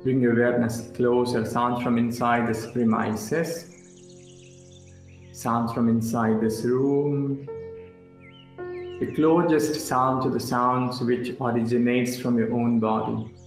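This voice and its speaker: Male meditation guide, mid-pitched and slow, calm and instructional, roomy sound with music beneath. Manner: calm, instructional, short phrases with pauses. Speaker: yoga instructor. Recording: roomy echo with music underneath.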